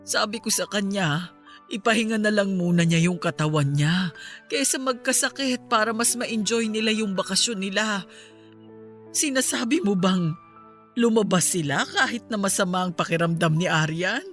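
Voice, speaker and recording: A woman's voice: medium pitch, normal speed, fairly bright tone, faint music behind, close to an everyday voice. A woman playing a grieving, bitter middle-aged mother in an emotional, accusatory Filipino radio drama style. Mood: shaken, suspicious.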